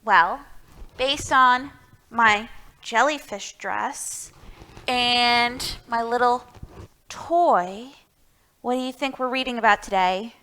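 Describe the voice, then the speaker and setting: Woman, storytime host, fairly high voice, unhurried pace, picture-book reading for young children, emphatic. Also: pushed and bright, smooth.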